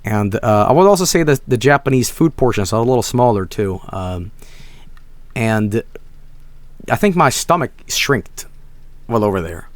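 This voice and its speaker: Hoarse man, rambling stream chat. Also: lowish voice, expressive, normal speed, long pauses, dry recording. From Sweden. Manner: rambling, with many restarts and soft trailing-off asides.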